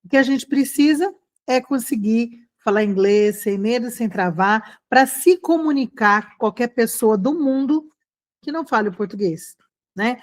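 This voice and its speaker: A woman with a Brazilian accent giving a conversational online language lesson, encouraging and animated, often checking in with short questions to her students. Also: fairly high pitch, unhurried. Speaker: online English teacher.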